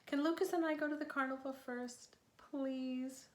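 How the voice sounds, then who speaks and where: A woman's voice: high voice, steady tone, normal speed, pitched above the performer's own voice, natural voice. A woman author voicing an eager, coaxing young girl from a storybook, earnest and pleading. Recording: echoey room sound.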